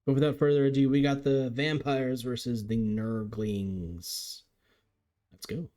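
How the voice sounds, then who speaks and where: A man giving chatty, upbeat live commentary on a game as league commentator, his voice getting a little raspy. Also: mid-range voice, fairly even intonation, measured pace, mellow. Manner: chatty, upbeat play-by-play with frequent restarts.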